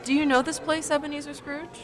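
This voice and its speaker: A woman's voice: sits high, little pitch movement, a crowd in the background, close to an everyday voice. A woman as a solemn, commanding Christmas spirit. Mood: probing. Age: young adult. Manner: formal, measured, probing. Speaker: student actor. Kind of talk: radio drama acting.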